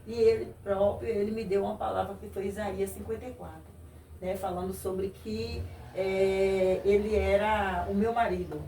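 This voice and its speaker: A woman from Brazil giving a faith testimony as a guest, halting and repeating her phrases. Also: mid-range voice, steady tone, mellow. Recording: echoey room, voice a bit far from mic, faint noise.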